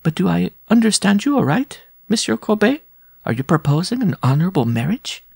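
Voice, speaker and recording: A man reading a story aloud, voicing a big, robust circus giantess in a teasing falsetto. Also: big pitch swings, brisk, vocal fry, slightly rough, no room sound, studio quality, exaggerated voice. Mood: feigned surprise.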